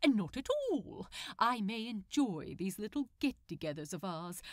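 A woman's voice: medium pitch, no room sound, clean studio sound. A woman voicing a prim, politely smug and fussy male supervillain in a fan fiction audiobook, with formal, pompous, articulate speech.

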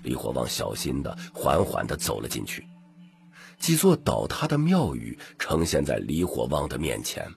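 A man narrating an audiobook in a scripted, dramatic storytelling style, with a husky, gravelly voice. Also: very deep voice, huge pitch swings, normal speed, faint music behind.

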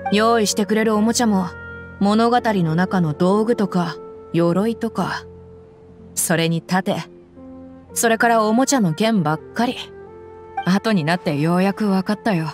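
Woman doing a tough young mercenary, blunt, rough casual talk, mid pitch, faint music behind. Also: measured pace, close to an everyday voice. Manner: rough, casual, relaxed speech.

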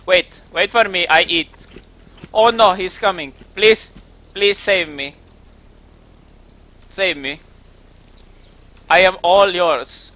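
A man with an Estonian accent improvising a campy, pleading singer character in halting broken English during a game stream. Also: sits high, bright, forceful tone, exaggerated voice, low-quality recording. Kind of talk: improvised role-play while streaming a game.

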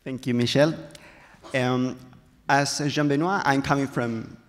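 A man's voice: mid-range voice, fairly even intonation, measured pace. A man in his thirties with a Chilean Spanish accent, a native Spanish speaker, explaining carefully as a panelist on a stage with a handheld mic. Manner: explanatory, careful phrasing in second language. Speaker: panelist, viticulture specialist. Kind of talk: panel discussion. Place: stage in a large hall. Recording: long hall reverb.

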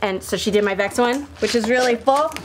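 A woman in her thirties chatting in an excited, gushing way as a game show cast member. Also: fairly high pitch, fairly quick. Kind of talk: excited casual chat. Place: indoor studio set at a long table. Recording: noisy live studio table.

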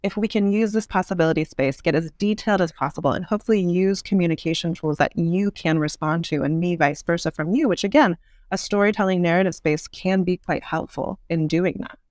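A woman speaking as an expert interview guest, explaining in long, measured, articulate sentences. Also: on the low side, darkish tone, no room sound, clean studio sound. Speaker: podcast guest, author and urban design expert.

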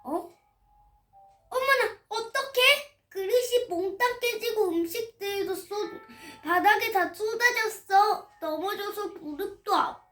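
A boastful little girl from a storybook, acted out by a young girl. Her voice is very high and showy, full of exclamation. It sounds in an echoey room. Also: slow pace, a bit bright, soft background music, full character voice. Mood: dismayed. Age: child, 8 years old. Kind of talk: children's storybook acting. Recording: roomy echo.

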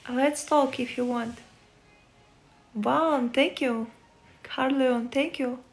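A woman in her twenties from Russia with a Russian accent, chatting casually with live-stream viewers from her phone at home, softly thanking them and answering questions. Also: sits high, fairly even intonation, slow. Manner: soft, friendly, thanking viewers and reading chat. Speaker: livestreamer. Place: indoors, bedroom. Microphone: phone at arm's length.

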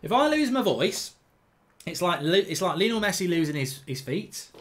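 Hoarse, sweary man streaming a game. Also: high voice, big pitch swings, normal speed, slight background noise. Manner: blunt, sweary, rambling banter. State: hoarse, losing his voice. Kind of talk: live gameplay commentary. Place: indoor room at a desk.

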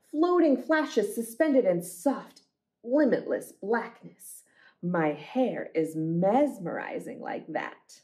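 A woman reading picture books aloud for a children's storytime, with deliberate, expressive phrasing. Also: a little high.

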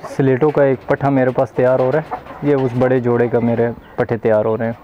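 A man's voice: medium pitch, level tone, quick pace. A young man from Pakistan, a poultry breeder, explaining his birds in a casual, matter-of-fact farm tour with pride in his stock. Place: outdoor poultry farm pens. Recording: muffled, noisy with clucking chickens.

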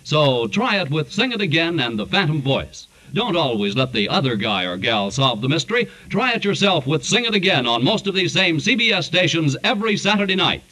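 A man's voice: a little high, big pitch swings, brisk, few pauses, sharp tone, low-quality recording. A man announcing programs in the polished promotional style of 1940s radio. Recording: hissy 1940s radio recording.

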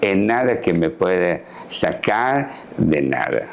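A man in his sixties, a tailor, calmly explaining his daily routine in a sit-down interview, recorded on a lapel mic in a living room set. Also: sits low, takes it slowly, vocal fry, a little hiss or hum. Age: 60s. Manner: calm, explanatory, gestures while talking. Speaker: guest, tailor. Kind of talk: casual interview talk.

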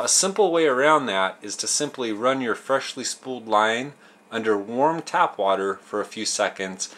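A man's voice: on the low side, a bit bright. Man explaining fishing tips step by step. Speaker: host of a fishing tips show. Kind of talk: instructional how-to narration.

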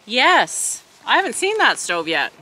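Bright-voiced older woman teasing at camp. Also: a little high, wildly swooping pitch, measured pace, poor audio. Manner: teasing, coy, laughs easily. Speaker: camping companion. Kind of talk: casual camping vlog chat. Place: lakeside campsite outdoors.

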